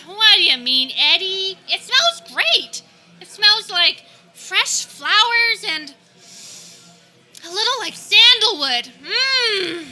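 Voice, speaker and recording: A woman playing a cheery, gushing wife who gently chides, in a comedic radio play. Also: very high voice, very animated, takes it slowly, soft background music, full character voice. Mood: upbeat.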